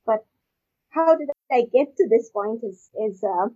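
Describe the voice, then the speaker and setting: A woman from Pakistan with a husky voice, answering interview questions conversationally in a halting way, repeating words as she goes. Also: on the high side, lively intonation, warm tone. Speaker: guest, agricultural research scientist. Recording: dull, lo-fi audio.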